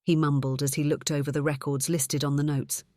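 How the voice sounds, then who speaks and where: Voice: medium pitch, little pitch movement, a little fast, darkish tone, dry recording, studio quality. A narrator reading scripted fiction for an audiobook.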